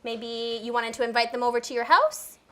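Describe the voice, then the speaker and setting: A woman's voice: a little high, fairly quick, sharp tone. A woman working as a therapist, coaching in simple steps and explaining, encouraging and often checking understanding with questions. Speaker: behaviour therapist teaching a child.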